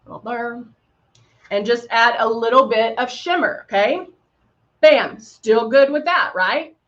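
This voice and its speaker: Middle-aged Texan woman, chatty live-tutorial host, slightly high voice, dull lo-fi stream audio. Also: takes it slowly, a bit bright. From Texas. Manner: chatty and upbeat, talks while applying makeup, playful sound effects. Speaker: makeup brand host.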